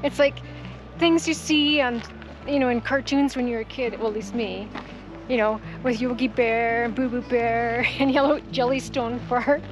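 Chatty, excitable woman vlogging outdoors. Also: a little high, normal speed, music in the background, low-quality recording. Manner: chatty and excitable, laughs between phrases. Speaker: travel vlogger. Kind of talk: casual vlog chat. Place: outdoors at a national park.

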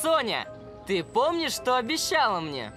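A woman's voice: fairly high pitch, wildly swooping pitch, measured pace, pushed and bright, soft background music, heavily acted. A woman voicing an earnest, bold young superhero kitten in a children's cartoon. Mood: determined.

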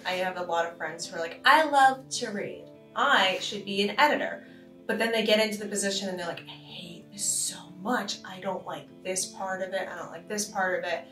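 Animated Boston woman in a roomy conference room. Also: mid-range voice. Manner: animated, laughing storyteller. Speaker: podcast co-host. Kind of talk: casual panel chat. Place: conference room around a table. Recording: roomy, distant with faint music.

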